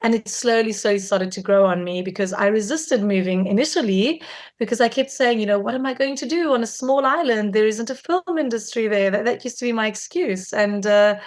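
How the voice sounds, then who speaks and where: A woman in her forties who grew up in South Africa, talking as a filmmaker guest in a relaxed interview, with lots of fillers and restarts. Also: on the high side, brisk. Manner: warm, chatty, many fillers and restarts. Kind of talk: conversational interview.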